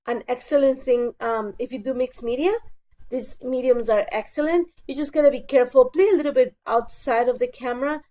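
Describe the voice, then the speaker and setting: Woman, stuffy with a cold, mellow higher voice, casual craft voice-over, chatty and self-correcting. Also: fairly quick. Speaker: scrapbooking crafter.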